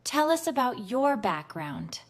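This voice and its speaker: Woman, English teacher, very slow pace, clear, patient, encouraging. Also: on the high side, very animated. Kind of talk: slow scripted classroom lesson.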